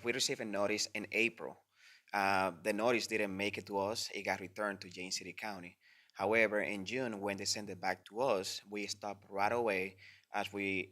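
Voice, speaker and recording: A man's voice: on the low side, pushed and bright. A man from the Dominican Republic, speaking English as a second language, earnestly explaining his permit request at a public hearing. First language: Spanish. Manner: earnest, filler-heavy with "you know". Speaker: permit applicant.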